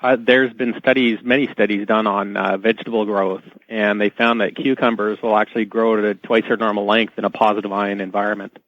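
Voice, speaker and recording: A man calling in to a radio discussion, explaining things in a hesitant, halting way over dull, muffled audio. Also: on the low side, little pitch movement, dry recording. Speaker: guest caller.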